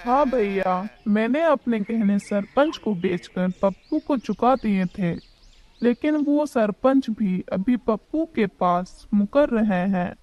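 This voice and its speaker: A woman's voice: mid-range voice, lively intonation, slow, lo-fi sound. A woman voicing a wronged, pious, indignant widowed mother in a cartoon village story. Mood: pleading. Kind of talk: cartoon moral story dialogue.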